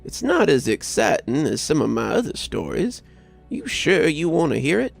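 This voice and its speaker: Guy doing an old grandpa war vet telling a story, super gravelly, folksy, pitch moving around a lot. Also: big pitch swings, faint music behind, exaggerated voice. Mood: wry, nostalgic. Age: elderly. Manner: folksy, unhurried, reminiscing. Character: folksy old grandfather, war veteran, reminiscing about youth. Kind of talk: first-person storytelling.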